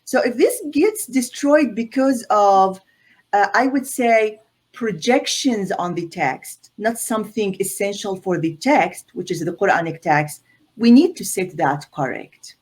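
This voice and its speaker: A woman's voice: mid-range voice, animated, a little slow. A middle-aged woman from Syria, explaining her reasoning as a guest on a video call, earnest and emphatic, often repeating words as she builds a point. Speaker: guest scholar. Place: indoor room on a video call. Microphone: laptop or webcam mic on a video call.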